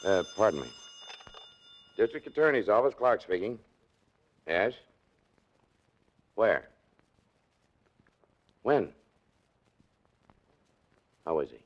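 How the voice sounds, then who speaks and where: An actor playing a confident district attorney in a 1940s radio drama, brisk, official and matter-of-fact. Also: male voice, on the low side, sing-song, full character voice. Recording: dull 1940s radio recording.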